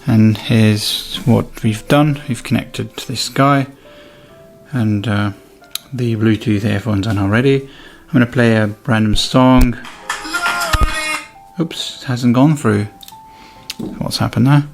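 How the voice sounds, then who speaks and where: A man talks through a product demonstration in an informal, hands-on way. His voice has a creaky, fried edge at an ordinary male pitch. He thinks aloud as he goes, with light music around the recording. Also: takes it slowly. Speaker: product reviewer. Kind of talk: casual unboxing and review commentary.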